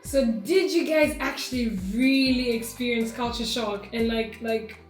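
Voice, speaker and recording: A woman's voice: on the high side, measured pace. A woman off camera asking the hosts interview questions in a slightly hesitant, prompting way. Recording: echoey room with music behind.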